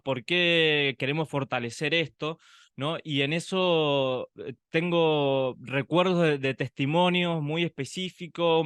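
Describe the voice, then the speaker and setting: Man with a smooth, dry voice, reflective. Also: fairly high pitch, a bit bright, no room sound. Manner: reflective, thinks aloud with many "em" pauses and tag "¿no?". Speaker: podcast co-host. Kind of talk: conversational podcast chat.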